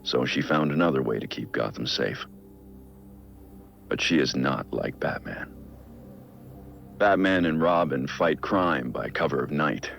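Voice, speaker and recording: An actor playing a brooding middle-aged American vigilante in a video game, dictating case logs in a gravelly, measured, introspective voice. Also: male voice, a bit bright, faint music behind, full character voice. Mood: reflective, admiring. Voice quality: gravelly, low. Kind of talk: scripted audio-log monologue.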